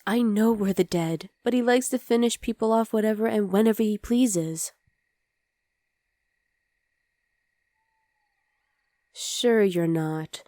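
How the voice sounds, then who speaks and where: A young demon girlfriend, acted by a woman in an intimate ASMR roleplay. Her voice is fairly high yet very mellow and soft, recorded close and dry. She comes across as a sweet, exasperated, rebellious daughter confiding in her partner. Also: no echo, natural voice. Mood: worried, hushed. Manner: intimate, soft, often dropping to a whisper.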